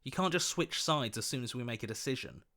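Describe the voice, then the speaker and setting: A man voicing an earnest, hopeful young hero in a video game, expressive and quick to protest. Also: mid-range voice. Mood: earnest, indignant.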